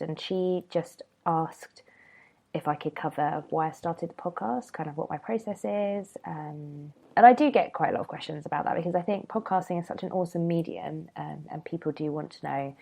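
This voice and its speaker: Low gravelly woman with a cold. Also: darkish tone. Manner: rambling, chatty, many ums and restarts. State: has a cold, slightly nasal. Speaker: podcast host. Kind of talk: casual solo podcast chat.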